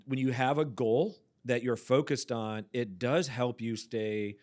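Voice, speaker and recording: Man in his forties, church podcast host, casual chat, quick asides. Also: medium pitch, steady tone, a little mellow. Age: 40s. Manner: chatty, quick asides, laughs often.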